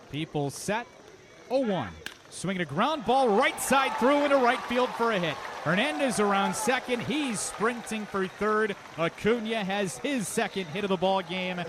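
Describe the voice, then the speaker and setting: High-voiced man calling baseball over loud noise. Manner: conversational between pitches, excited calls on action. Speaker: baseball play-by-play announcer. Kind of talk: live radio sports commentary. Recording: live ballpark broadcast with loud background noise. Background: outdoor ballpark ambience.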